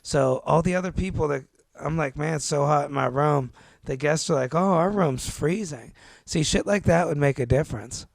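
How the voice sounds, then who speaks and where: A man co-hosting a podcast, telling travel stories in a laid-back, animated way and calling his listener dude and bro. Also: a little high, normal speed, creaky, no room sound. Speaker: podcast co-host. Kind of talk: casual chat. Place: New York.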